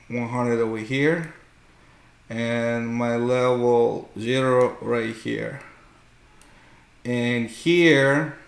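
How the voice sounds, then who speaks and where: Slow, gravelly man explaining charts. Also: medium pitch. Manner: explanatory, often checks with "right?". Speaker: host, market analyst. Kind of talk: unscripted chart tutorial commentary. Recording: roomy, echoing room sound.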